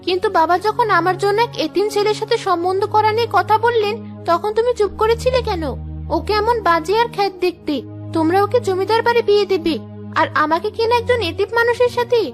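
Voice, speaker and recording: A woman's voice: very high voice, brisk. A woman voicing a young woman in a cartoon family story. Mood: resentful. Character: young Bengali sisters, one vain, one dutiful. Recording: music behind the voice.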